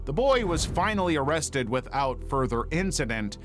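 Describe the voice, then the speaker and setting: Guy narrating true crime, voice on the higher side, really animated, music underneath. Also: normal speed.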